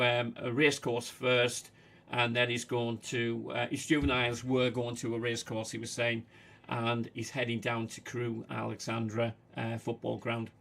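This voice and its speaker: A man with a Manchester accent hosting a casual livestream, talking haltingly with many fillers. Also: medium pitch, gravelly, a bit bright. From England. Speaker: livestream host.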